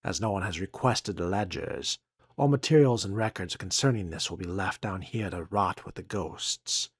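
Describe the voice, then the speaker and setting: A man voicing a formal, skeptical 1970s city sewer official reading out his log in a measured, dramatic way for a game. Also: low-pitched, husky, dry recording, studio quality. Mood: grave, troubled.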